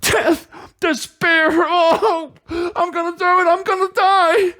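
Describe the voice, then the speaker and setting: Whiny panicky young guy from a video game, super high wailing, total drama. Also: flat delivery, bright, forceful tone, exaggerated voice. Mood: wailing despair. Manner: melodramatic, drawn-out cries. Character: panicked whiny young man, melodramatic defendant despairing.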